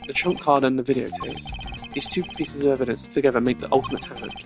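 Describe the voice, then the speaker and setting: A man's voice: medium pitch, animated, lightly acted. Man voicing a composed, formal young prosecutor over music. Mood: resolute. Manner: formal, measured, declamatory. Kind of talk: video game dialogue acting.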